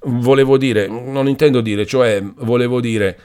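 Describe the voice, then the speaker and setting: A man's voice: fairly low pitch, expressive, normal speed, a little mellow, voice pushed up, natural voice. A man reading an audiobook voices an impulsive, agitated young working man who speaks emotionally and stumbles over his words. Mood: flustered. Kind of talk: audiobook character voice.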